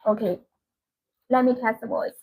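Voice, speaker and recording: A woman presenting products on a live sales stream, explaining informally with repeated points and halting, non-native English phrasing. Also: on the high side, darkish tone, breathy edge, poor audio.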